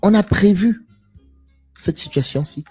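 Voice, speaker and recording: A man's voice: high-pitched, unhurried, warm tone, husky, soft background music. A man giving a live stream monologue, lecturing with repeated rhetorical questions and addressing listeners directly. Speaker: live stream guest speaker.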